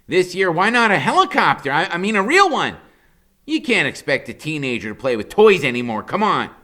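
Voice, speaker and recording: A shrill wisecracking boy genius. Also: sing-song, brisk, sharp tone, heavily acted. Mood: cocky joking. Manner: cocky, wisecracking. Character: brainy smart-mouthed thirteen-year-old boy.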